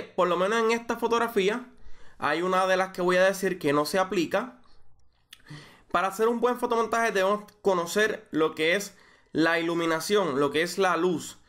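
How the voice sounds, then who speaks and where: A young man with a Puerto Rican accent explaining a photo-editing tutorial, measured and full of small fillers and restarts. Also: sits high, a bit bright. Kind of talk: screen-recorded software tutorial.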